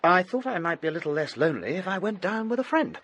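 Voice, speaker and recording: An actor playing a breezy, forceful young sporting student with an upper-class English accent, hearty and brisk in a radio drama. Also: male voice, sits high, sing-song, a little hiss or hum, heavily acted. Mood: confiding. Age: young adult.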